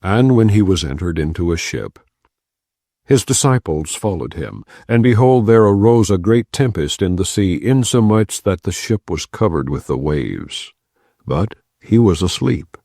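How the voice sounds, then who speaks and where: A man giving a measured, solemn scripture reading. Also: low voice, no echo, clean studio sound. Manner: measured, solemn, expressive intonation. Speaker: scripture reader.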